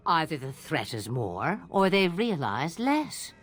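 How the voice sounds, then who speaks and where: A woman's voice: sits low, big pitch swings, slow, slightly rough, full character voice. An actress voicing an ancient, mocking female witch of the wilds in a video game, teasing and riddling as she talks. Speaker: game character.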